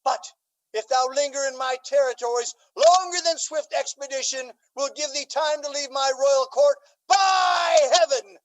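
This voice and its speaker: An actor playing an imperious, wrathful duke. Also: poor audio. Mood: furious. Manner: declamatory, scornful, booming when angered. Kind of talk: Shakespearean radio drama acting.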